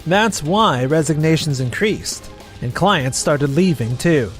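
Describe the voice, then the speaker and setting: A man's voice: fairly high pitch, lively intonation, slow, faint music behind. A man voicing a capable, blunt elder brother in a manga drama dub, assured and admonishing. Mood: matter-of-fact. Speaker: voice actor and narrator in manga dub.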